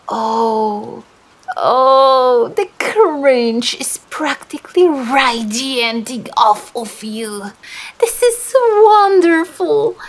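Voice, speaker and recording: A woman's voice: sits high, full character voice. A woman playing a seductive demon who feeds on embarrassment, speaking in a teasing, theatrical way in ASMR roleplay style. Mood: mocking, delighted. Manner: teasing, theatrical, slow and drawn-out.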